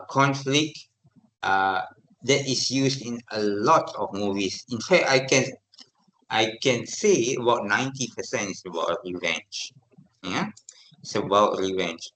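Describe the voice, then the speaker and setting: A middle-aged man with a Malaysian English accent lecturing to a university class, lively and often checking for replies from students. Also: lowish voice, slow pace, slight background noise, poor audio.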